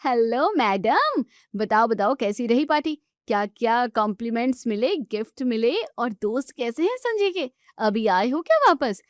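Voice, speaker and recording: A woman voicing a chatty, affectionate and protective young sister-in-law in a family audio story, with animated, fussing delivery. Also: a little high, moderate pace, no room sound, clean studio sound. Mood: teasing, eager.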